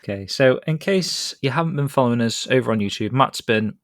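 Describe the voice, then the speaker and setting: A man co-hosting a podcast in a relaxed, rambling chat, chuckling now and then. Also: mid-range voice, a little fast, dry recording, clean studio sound. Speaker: podcast co-host. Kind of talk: casual chat.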